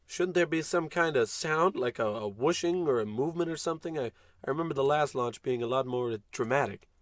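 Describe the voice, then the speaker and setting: A man's voice: mid-range voice, a little fast, dry recording, close to an everyday voice. Man playing a pompous, blustering radio-play space captain. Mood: puzzled, impatient. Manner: grandiose, bossy, with dry sarcastic asides. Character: pompous, callous American spaceship captain, blustering and self-important. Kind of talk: comic old-time radio play acting.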